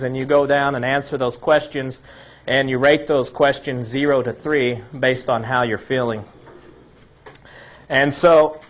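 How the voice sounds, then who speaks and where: A man giving an informal health lecture to a live audience. His voice is slightly raspy from a cold, and he explains things step by step, listing items one by one and often restarting his sentences. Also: medium pitch, highs cut off. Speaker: health presenter.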